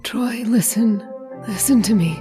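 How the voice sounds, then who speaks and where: A woman's voice: fairly high pitch, unhurried, warm tone, faint music behind, lightly acted. A woman playing a dying lover giving a desperate warning in weak, broken phrases, in old-time radio horror style. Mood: desperate, fading.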